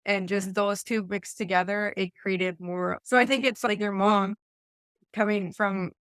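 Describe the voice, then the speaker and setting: A woman's voice: mid-range voice, steady tone, a little slow, fairly bright tone, smooth, no echo, studio quality. A woman with an Eastern Ontario Canadian accent chatting casually as a podcast co-host, in a rambling, anecdotal way. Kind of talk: casual conversational discussion.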